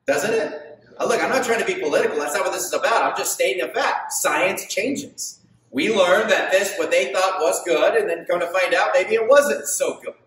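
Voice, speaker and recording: High-voiced preacher in an echoey hall. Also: male voice, moderate pace, a bit bright, a little hiss or hum. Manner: emphatic, asks the congregation questions; gestures widely while preaching. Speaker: pastor. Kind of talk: sermon. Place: stage of a large indoor hall. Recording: very echoey hall, mic far off.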